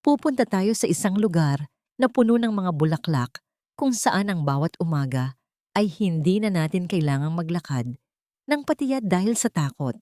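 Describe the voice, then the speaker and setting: A resolute wife and mother from an audio drama story, voiced by a woman narrator. She speaks in a measured, dignified way with a lowish female voice and very little room sound. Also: lively intonation, mellow, no echo, studio quality, close to an everyday voice. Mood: tender reassurance. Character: Isabela, resolute wronged wife and gentle mother.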